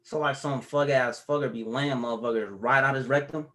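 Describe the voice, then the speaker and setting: An actor playing a profane, cocky fifteen-year-old grandson at a table read. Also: male voice, mid-range voice, fairly even intonation, a little fast, a bit bright, close to an everyday voice. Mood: mouthy.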